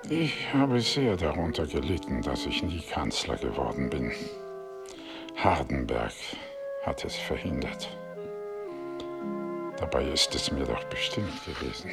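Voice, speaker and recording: An elderly statesman in a radio drama, calm, knowing and wistful. His voice is extremely low and gravelly, measured as he reminisces, with loud flute music behind it. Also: heavily acted. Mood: regretful. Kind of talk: German radio play acting.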